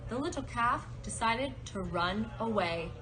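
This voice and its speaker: A woman's voice: on the high side, expressive, unhurried. A woman telling a children's story live at a puppet show, with simple, descriptive phrasing and repetition. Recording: noisy live recording.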